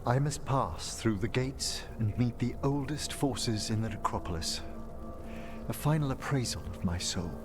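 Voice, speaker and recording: An actor playing a courteous, genteel middle-aged necromancer in a fantasy video game, speaking formally and earnestly. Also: male voice, mid-range voice, animated, a little slow, music in the background, close to an everyday voice. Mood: solemn.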